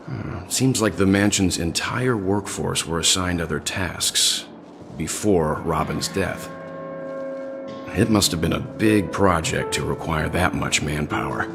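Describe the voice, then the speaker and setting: A deep, gravelly, thoughtful companion. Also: male voice, a little slow, music in the background. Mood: pensive, serious. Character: composed, thoughtful adult man, serious investigator-like companion. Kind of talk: video game voice acting.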